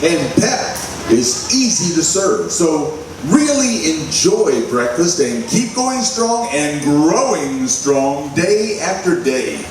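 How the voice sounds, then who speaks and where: A man's voice: fairly high pitch, big pitch swings, takes it slowly. A man reading a scripted commercial as an announcer in 1940s radio style. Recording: echoey hall, distant mic, faint noise.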